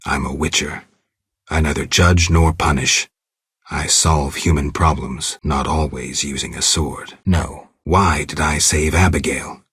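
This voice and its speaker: A man voicing a stoic, world-weary monster hunter in a video game, with a gravelly, husky voice and terse, deadpan delivery. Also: a bass voice, no room sound, clean studio sound, heavily acted. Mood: brooding, self-questioning. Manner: terse, deadpan, clipped phrases. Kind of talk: video game monologue, reflective narration.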